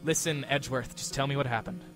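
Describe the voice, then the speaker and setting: An actor playing an earnest, easily flustered young defense lawyer with a wry streak in an anime-style audio drama. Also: male voice, mid-range voice, steady tone, fast talker, music in the background, lightly acted.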